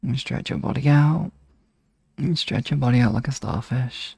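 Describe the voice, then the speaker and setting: A man hosting a live ASMR hypnosis session, guiding relaxation in a soft, soothing, gently instructing manner. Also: mid-range voice, normal speed, gravelly, breathy edge, dry recording.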